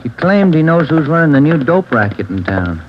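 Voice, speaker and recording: An actor playing a steady male police detective partner in a 1940s radio drama, conversational and plain, in a noisy, dull recording. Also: fairly high pitch, moderate pace.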